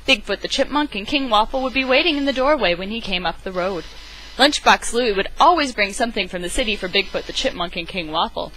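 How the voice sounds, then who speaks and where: A woman's voice: mid-range voice, expressive, brisk, bright, forceful tone, slight background noise, low-quality recording. A woman giving a theatrical, emphatic read-aloud of a children's picture book, as an amateur storyteller on a webcam microphone.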